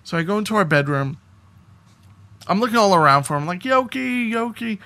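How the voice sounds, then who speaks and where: A man's voice: sits high, lively intonation, a little fast, pushed and bright. A man co-hosting a podcast, telling anecdotes in a casual, rambling conversational way. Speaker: podcast co-host.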